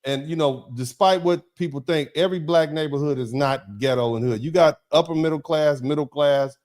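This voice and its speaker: Man, talk show host, emphatic, preachy, repeats himself, slightly high voice. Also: normal speed, darkish tone. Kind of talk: casual commentary chat.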